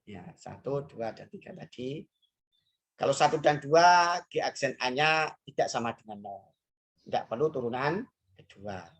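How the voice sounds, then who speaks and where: A woman's voice: extremely low pitch, animated, measured pace, breathy edge. A woman teaching math, talking through each step aloud with rhetorical questions and frequent hesitations. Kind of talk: math lesson explaining worked examples.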